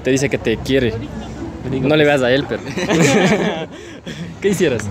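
A man's voice: mid-range voice, expressive, slow, a crowd in the background. A young man with an Ecuadorian Spanish accent, playfully chumming up with people in a street interview. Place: outdoor city street. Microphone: phone held out as a mic. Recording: loud street noise.

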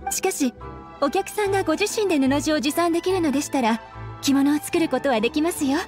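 A woman's voice: high-pitched, fast talker, natural voice. A woman voicing a polite, welcoming young female shopkeeper in scripted game dialogue. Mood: apologetic, explaining. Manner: polite customer-service speech. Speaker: game voice actor. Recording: studio voice over game music.

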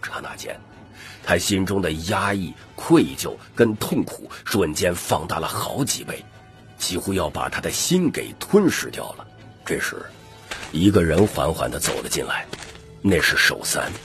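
Man, deep register, dramatic story narration, lively pitch movement, faint background music. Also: a little slow. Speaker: audiobook narrator.

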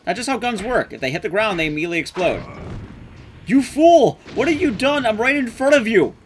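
A man's voice: high voice, lively intonation, fairly quick, soft background music. A young man giving casual, sweary, sarcastic live commentary as he speedruns a game. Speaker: speedrun streamer. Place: indoor room at home, streaming setup.

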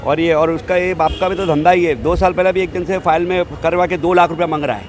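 A man's voice: a little high, fairly even intonation, fast talker. An older man, a shopkeeper, defending himself to a reporter on a city street at night, talking in a heated, rambling way into a handheld mic. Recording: noisy street.

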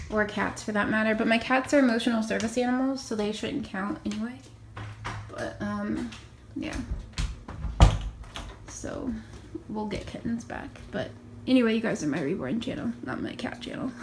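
Young woman, raspy gravelly voice, slightly high, chatty vlog, handheld phone in a small bedroom, faint background noise. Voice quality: raspy from just waking up. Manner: chatty, upbeat, many ums and likes. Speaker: vlogger. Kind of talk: casual room tour vlog. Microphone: handheld phone camera.

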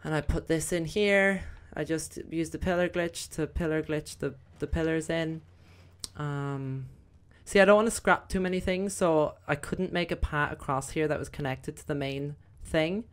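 Croaky-voiced woman rambling over gameplay. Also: low voice, moderate pace. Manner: chatty, rambling, many fillers. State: croaky, voice recovering. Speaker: gaming video host. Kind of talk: casual gameplay commentary.

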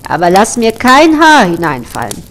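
A woman voicing a gruff, bossy, threatening male cook in a fairy tale reading. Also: medium pitch, huge pitch swings, moderate pace, fairly bright tone, a little hiss or hum. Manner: bossy, stern.

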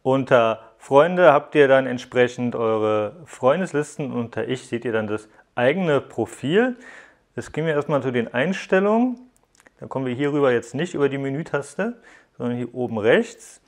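A man's voice: mid-range voice, animated, moderate pace. Man giving a casual tech walkthrough. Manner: explanatory, addressing viewers directly. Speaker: app reviewer, host. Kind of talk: casual hands-on tech review narration.

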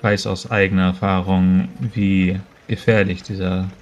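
Low-voiced man, measured and formal. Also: level tone, muffled recording. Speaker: tabletop roleplay player. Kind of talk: improvised in-character dialogue.

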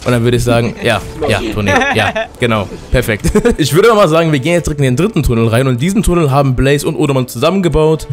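A hoarse man with a cold, giving excited let's-play commentary and often breaking into loud outbursts. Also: mid-range voice, fast talker, hardly any pauses, faint music behind. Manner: excitable, loud outbursts, keeps addressing viewers. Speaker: gaming YouTuber.

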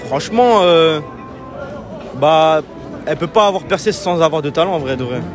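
A man's voice: a little high, animated, moderate pace. A young man answering a street interview in slangy, emphatic speech with many fillers. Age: young adult. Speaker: passer-by. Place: busy shopping street outdoors. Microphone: interviewer's phone held close. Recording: street music behind.

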